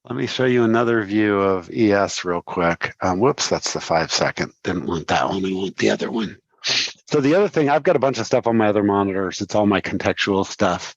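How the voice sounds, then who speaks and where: A man's voice: lowish voice, expressive, a little fast, no room sound, muffled recording. A man in his sixties with a cold, explaining his trading setup in casual live-stream commentary, with lots of fillers and restarts. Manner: rambling, filler-heavy explaining. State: congested from a cold. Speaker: trading educator on a livestream.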